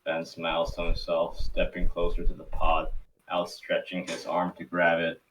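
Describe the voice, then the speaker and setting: A man's voice: low voice, monotone, moderate pace, a bit bright, recorded from a distance, slight background noise, rough recording. A man narrating stage directions for a cartoon table read in a scripted storytelling style.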